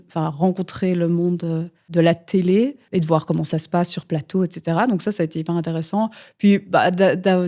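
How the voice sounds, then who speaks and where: A woman's voice: on the low side, mellow. A woman, a guest musician talking in a casual interview, rambling with many fillers and restarts. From Belgium.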